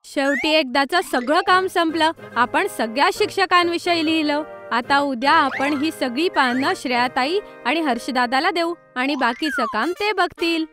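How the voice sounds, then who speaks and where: A woman's voice: sits high, normal speed, few pauses, fairly bright tone. A woman voicing an earnest, kind young schoolgirl who likes to organise, in a children's cartoon story. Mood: reassuring. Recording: music behind the voice.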